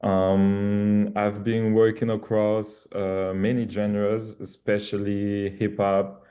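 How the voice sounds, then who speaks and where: Deep, flat, slow-talking man. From Guadeloupe. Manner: calm, measured, slight hesitations. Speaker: panelist, A&R. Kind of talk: conference panel talk.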